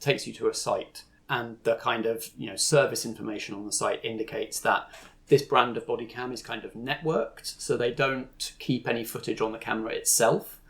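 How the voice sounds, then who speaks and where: A man's voice: medium pitch, normal speed, vocal fry, fairly bright tone. A man improvising narration as game master, explaining the scene in a conversational way.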